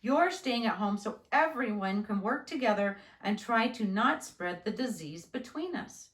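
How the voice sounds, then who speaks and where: A woman voicing a calm, reassuring father who patiently explains things to his child in a storybook. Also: mid-range voice, unhurried, close to an everyday voice. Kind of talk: children's storybook read-aloud. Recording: roomy, echoing indoor sound.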